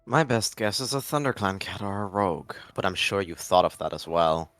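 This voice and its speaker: Man playing a cold, low-voiced villainous cat deputy. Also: expressive, moderate pace, fairly bright tone, dry recording, soft background music. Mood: feigned confidence. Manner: confident, smooth, taunting. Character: cold, cunning male warrior cat deputy, evil schemer. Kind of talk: text roleplay acted aloud.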